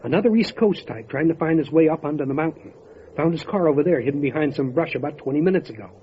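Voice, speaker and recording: An actor playing a steady, resolute county sheriff in an old time radio drama, matter-of-fact in manner. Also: male voice, on the high side, quick pace, close to an everyday voice. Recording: dull lo-fi audio with light noise.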